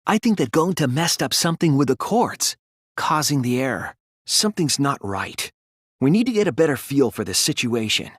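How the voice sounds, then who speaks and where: A man voicing an earnest, analytical teenage boy detective in a video game, reasoning deliberately through scripted dialogue. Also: mid-range voice, a bit bright, breathy edge, no echo, studio quality.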